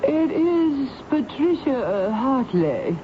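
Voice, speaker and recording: A woman's voice: high-pitched, very animated, takes it slowly, heavily acted. A woman playing a theatrical, fretful former actress, genteel and pleading, in a vintage radio drama. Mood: prim, hesitant. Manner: melodramatic, genteel, hesitant. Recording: dull, noisy old radio broadcast recording.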